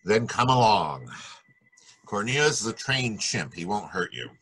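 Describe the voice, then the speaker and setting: A man playing a hard-boiled private detective in a 1940s-style radio drama, wry, brisk and tough-talking, with a husky voice. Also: fairly low pitch, big pitch swings, fairly bright tone, full character voice, poor audio. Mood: brisk, commanding.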